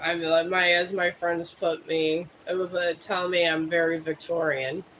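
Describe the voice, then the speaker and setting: A man in his fifties from Ohio, talking to his live stream viewers in a high, bright voice. He rambles and muses, pokes fun at himself, and sounds a bit high. A faint hum of room noise sits under him. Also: flat delivery, unhurried, low-quality recording. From Cleveland, Ohio. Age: 50s. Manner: rambling, musing, self-deprecating.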